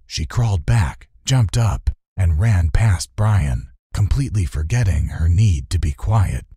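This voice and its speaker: A man's voice: low-pitched, dark tone, husky, no echo, clean studio sound. A man narrating a children's fantasy audiobook in a suspenseful, theatrical style.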